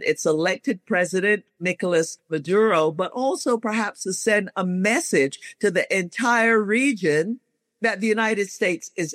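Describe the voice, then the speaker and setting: A man's voice: high-pitched, fairly bright tone, dry recording. A man hosting a current-affairs talk show, commenting and introducing guests earnestly in a conversational way. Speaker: radio show host.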